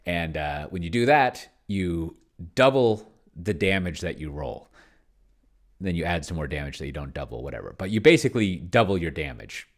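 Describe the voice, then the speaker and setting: A man with a low, gravelly voice talks casually through his own game as host. His pitch swings in a lively way while he thinks aloud, rambling and hesitating, often leaving a thought half finished. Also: moderate pace. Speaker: solo tabletop roleplayer and host, narrating own play session. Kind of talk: casual unscripted play-along commentary, thinking aloud.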